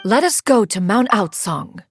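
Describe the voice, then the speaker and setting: A woman's voice: medium pitch, sing-song, a little slow, soft background music. A woman voicing a proud, dignified immortal inventor in an anime video game, speaking in formal, archaic phrases. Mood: reassuring.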